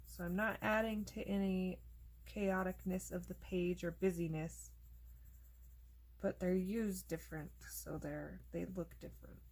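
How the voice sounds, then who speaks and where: A woman host casually narrating a coloring tutorial, chatty and relaxed, thinking aloud as she works, and losing her voice. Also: fairly low pitch, measured pace, low-quality recording.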